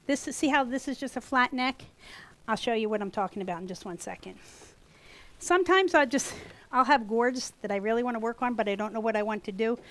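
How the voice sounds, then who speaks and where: A woman with a US East Coast accent teaching a gourd craft class live to a small group, talking loudly and chattily. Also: fairly high pitch. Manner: loud, chatty, animated. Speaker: gourd art instructor. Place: workshop room with a small audience.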